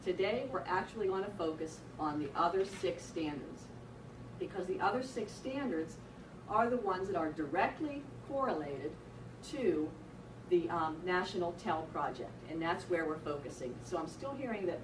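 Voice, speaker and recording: A woman gives a workshop presentation in a loud teacher voice, guiding remote sites through her slides. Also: lowish voice, expressive, moderate pace. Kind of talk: professional development presentation. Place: lecture room, broadcast to remote sites. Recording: echoey room from far off, noisy.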